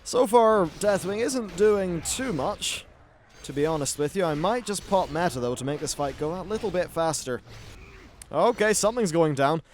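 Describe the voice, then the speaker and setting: Man, higher-set voice, casual game commentary, wry asides, sweary. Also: animated, moderate pace, a little hiss or hum. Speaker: gaming video host.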